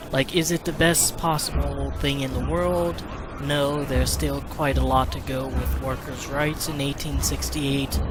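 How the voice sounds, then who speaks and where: A man giving casual commentary as the host of a gaming video. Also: medium pitch. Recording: loud game music and street sounds behind. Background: game music and urban game sounds.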